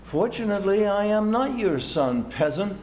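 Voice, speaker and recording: A man's voice: high voice, lively intonation, moderate pace, reverberant, background noise. A man playing a pompous, easily offended young suitor, haughty and formal, in an old-time radio comedy. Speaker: amateur radio play actor.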